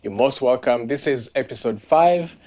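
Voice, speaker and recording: A man's voice: mid-range voice, normal speed, darkish tone. A man co-hosting a podcast, welcoming listeners in an upbeat way. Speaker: podcast co-host. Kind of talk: casual podcast chat.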